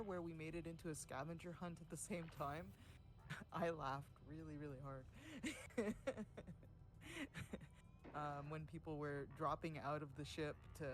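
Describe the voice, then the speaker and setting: A man recalls community events in loose, casual livestream chat. His voice sits fairly high, and he laughs easily and often between his ums. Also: unhurried. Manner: chatty, laughs often, many fillers; says he has a louder voice than most.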